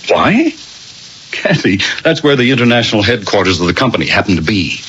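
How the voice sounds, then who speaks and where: Upbeat husband, mock-pompous, wordy, very varied intonation, old-time radio drama, dull hissy recording. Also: male voice, lowish voice, sing-song, brisk, a bit bright. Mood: puzzled, concerned. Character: upbeat, self-assured husband, a newly promoted executive. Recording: muffled, noisy old radio broadcast.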